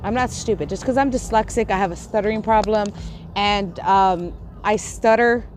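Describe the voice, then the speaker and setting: Woman, stutter, lisp, angry livestream rant, swearing, mid-pitched voice, faint background noise. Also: moderate pace, a little mellow. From Texas. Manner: angry, swearing, repeats and restarts, confrontational. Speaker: YouTuber on a livestream. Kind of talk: heated livestream rant to chat.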